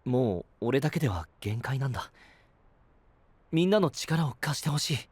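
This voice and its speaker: A male actor playing a gentle, responsible young knight in an audio drama, soft-spoken and earnest. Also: mid-range voice, lively intonation, normal speed, husky, no echo. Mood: calm, reflective. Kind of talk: Japanese anime-style audio drama acting.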